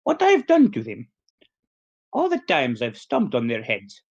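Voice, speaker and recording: A man's voice: mid-range voice, huge pitch swings, a little slow, gravelly, a little mellow, breathy edge, lo-fi sound, voice pushed down, exaggerated voice. A man voicing a cartoon Italian plumber who delivers a theatrical, solemn, sing-song mock apology. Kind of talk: mock YouTuber apology video improv.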